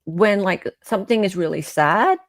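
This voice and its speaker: A woman's voice: medium pitch, normal speed, dry recording, clean studio sound. An Australian woman hosting a live stream in casual, opinionated chat, often checking for agreement as she goes.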